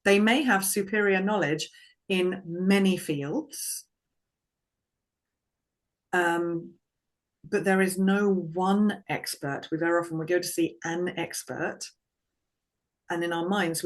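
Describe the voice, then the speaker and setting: A woman with a notably low voice talks slowly and deliberately as a guest voice coach. She sounds calm and reflective, laying out her points in measured phrases. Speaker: guest, vocal confidence coach. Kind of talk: conversational interview.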